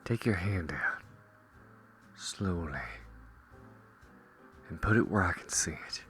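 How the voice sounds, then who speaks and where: Male actor as a cowboy ranger, slow delivery, bright forceful edge, wry and teasing, roleplay audio drama. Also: deep voice, animated, soft background music, heavily acted. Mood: cool, threatening. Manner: slow, drawling, wry, reassuring. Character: calm, cocky gunslinging cowboy ranger, teasing but protective.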